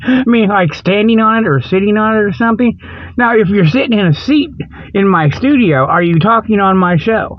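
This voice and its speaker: A man acts out a folksy comic radio host with a high-pitched voice. He delivers a mock report in loose, colloquial talk, circling back and repeating himself. The sound is dry and slightly dull, like lo-fi radio. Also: dry recording. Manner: rambling, repetitive, chuckles at own jokes. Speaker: comedy radio show host. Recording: dull lo-fi audio.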